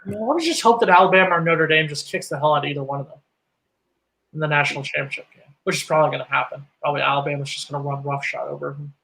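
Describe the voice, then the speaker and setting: A man co-hosting a casual sports chat, ranting sarcastically in a high voice. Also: steady tone, very fast, vocal fry, sharp tone, low-quality recording. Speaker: co-host.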